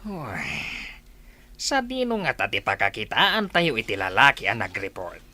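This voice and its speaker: A man's voice: lowish voice, big pitch swings, moderate pace, sharp tone, full character voice. A man with an Ilocano accent playing a restless, vengeful robber boss in a radio drama.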